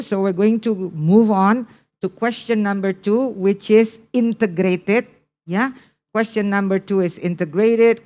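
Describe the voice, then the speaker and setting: A woman's voice: mid-range voice, darkish tone. A woman with an Indonesian accent teaching a test preparation class online, repeating key points and checking in with the class. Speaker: English test prep teacher.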